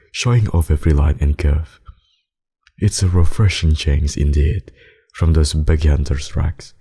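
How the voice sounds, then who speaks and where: A man voicing a suave, possessive, menacing vampire in an ASMR roleplay, with formal, courtly, seductive speech and a gravelly, husky voice. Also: a bass voice, dark tone, full character voice. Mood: smooth admiring. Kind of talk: ASMR roleplay, dramatic monologue.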